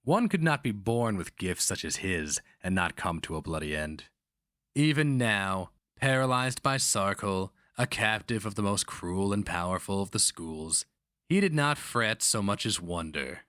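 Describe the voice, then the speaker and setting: A man's voice: on the low side, lively intonation, a little slow, fairly bright tone, dry recording, studio quality. A man giving a solemn, dramatic reading of a literary fantasy story as its narrator.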